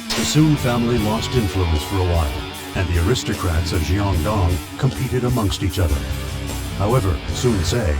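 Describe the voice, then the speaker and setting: An actor narrating a historical war saga for a video game in a grave, formal storytelling manner. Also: male voice, lowish voice, natural voice. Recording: loud music under the voice.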